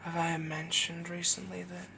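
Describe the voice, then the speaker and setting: A man playing a doting, possessive yandere werewolf boyfriend in an intimate roleplay audio, soft-spoken, whispery and adoring. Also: fairly high pitch, level tone, normal speed, gravelly, noisy background. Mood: adoring, tender.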